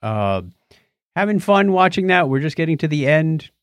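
A man's voice: on the high side, big pitch swings, normal speed, no room sound. A man co-hosting a podcast from a studio, chatting casually and playfully, moving between announcements and banter. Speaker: podcast co-host. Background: music.

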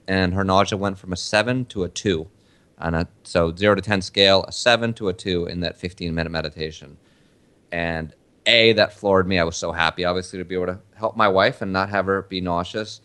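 A man with a very low voice hosts a podcast in relaxed chat. He teases his co-host and tells long, easygoing stories. He grew up in Argentina. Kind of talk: casual chat.

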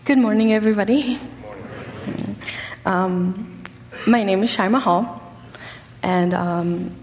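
Halting young woman in a reverberant hall. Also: mid-range voice, a little mellow, a little hiss or hum. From Egypt. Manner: hesitant, many ums and pauses. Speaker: guest speaker sharing her story. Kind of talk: personal testimony talk.

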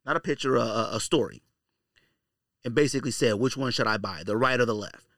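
A man's voice: medium pitch, a little fast, fairly bright tone, dry recording, studio quality. A man hosting a podcast in a casual, opinionated monologue, blunt and slangy, repeating phrases for emphasis. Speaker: podcast host.

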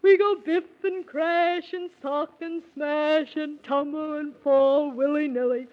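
A woman's voice: high-pitched, steady tone, slow pace. A woman playing a cheeky, argumentative little male imp who talks in rhyming nonsense in a 1930s children's radio serial. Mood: boastful. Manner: rhyming nonsense patter, contrary. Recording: muffled old 1930s radio recording.

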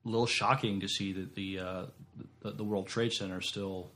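American male co-host, moderately low pitch, casual discussion, hesitant and halting delivery. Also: fairly even intonation, a bit bright. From United States East Coast. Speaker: podcast co-host.

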